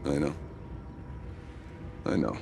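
An actor playing a grim, determined warrior with a burdened conscience in a video game cutscene, speaking tersely and gravely. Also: male voice, takes it slowly, background noise, natural voice. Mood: grim resolve. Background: music.

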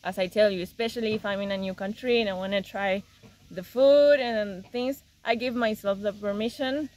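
A woman's voice: medium pitch, steady tone, normal speed, a little mellow, rough recording. A young woman from Argentina chatting casually outdoors in a rural yard, often repeating words as she searches for them. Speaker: visiting guest.

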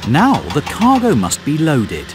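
A man narrating a children's game as a scripted story, giving clear, deliberate instructions to young listeners. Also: on the high side, huge pitch swings, noisy background. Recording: music under the voice.

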